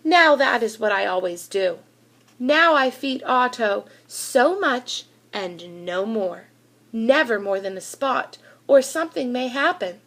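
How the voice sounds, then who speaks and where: A woman reading a children's storybook aloud in an expressive narrating style. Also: a little high, takes it slowly.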